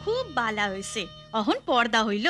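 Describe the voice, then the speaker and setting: A woman's voice: on the high side, sing-song, moderate pace, loud music behind the voice. A woman playing a busy, practical village mother with a Bangladeshi accent in a children's cartoon. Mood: brisk. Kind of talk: children's educational cartoon acting.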